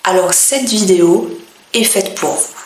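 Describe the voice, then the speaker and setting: A woman from France, a French teacher, speaking in a clear, deliberate way with pauses between phrases. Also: medium pitch, a little mellow, roomy sound, slight background noise, rough recording. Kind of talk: slow, careful teaching monologue.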